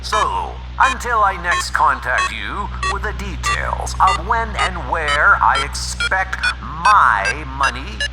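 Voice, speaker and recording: A man's voice: on the high side, big pitch swings, takes it slowly, few pauses. A man playing a pompous, theatrical villain airship commander, delivering a mock-polite, menacing ultimatum in a comic dub. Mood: mocking threat. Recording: long hall reverb, music underneath.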